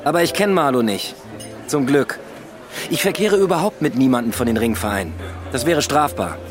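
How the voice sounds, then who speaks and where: An actor as animated, evasive detective over music. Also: male voice, mid-range voice, normal speed, lightly acted. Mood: irritated. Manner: dismissive, casual. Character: evasive, grumbling police detective and irritated boyfriend. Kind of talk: radio drama acting.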